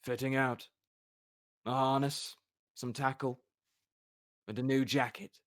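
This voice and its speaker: Reader voicing a terse male monster hunter; low, quiet, clipped. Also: level tone, slow, close to an everyday voice. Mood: dry. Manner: terse, subdued.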